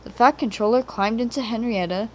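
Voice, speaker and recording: A woman's voice: fairly high pitch, brisk, a little mellow, muffled recording, a little hiss or hum. A woman reading a children's story as a scripted narration, on an amateur home recording.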